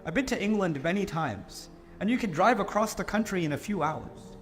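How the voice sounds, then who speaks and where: A man from Pakistan giving an animated Islamic lecture to a live audience, often putting on mocking voices for the people he quotes. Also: sits high, moderate pace, faint music behind.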